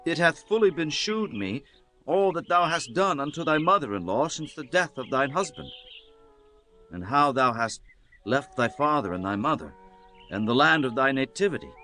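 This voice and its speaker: A man's voice: medium pitch, animated, moderate pace, pushed and bright, soft background music. A man playing a kindly, solemn biblical landowner in a dramatized scripture audiobook, with formal, declamatory delivery. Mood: solemn, benevolent. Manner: declamatory, formal archaic speech.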